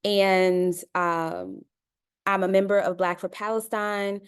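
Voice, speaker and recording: A woman's voice: medium pitch, steady tone, slow, smooth. A woman from Chicago facilitating an online panel discussion, talking in a conversational way and calling her listeners y'all. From Chicago, United States. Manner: conversational, addresses listeners as y'all, many uh fillers. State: dry mouth.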